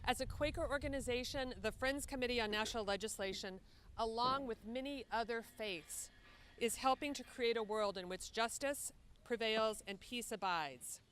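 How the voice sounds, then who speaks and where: A woman delivering a prepared, formal advocacy speech at a press conference in a measured, earnest manner. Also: on the high side. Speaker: advocacy group leader speaking at press conference. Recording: steady background ambient noise.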